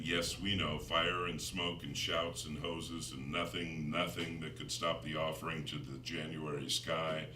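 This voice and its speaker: An American man, a poet in middle age, reading his own work to listeners in a measured, deliberate, dramatic way. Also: rumbling low, pushed and bright. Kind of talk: live poetry reading with spoken introductions. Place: live reading in a room before an audience. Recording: roomy, distant mic, faint noise.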